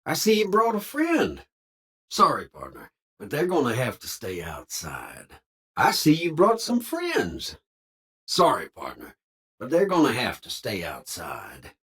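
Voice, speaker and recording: An actor playing a folksy, eager, slightly sly cowboy robot with a hearty Western American drawl in a video game. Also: male voice, medium pitch, wildly swooping pitch, a little slow, a bit bright, husky, heavily acted. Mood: chipper, folksy.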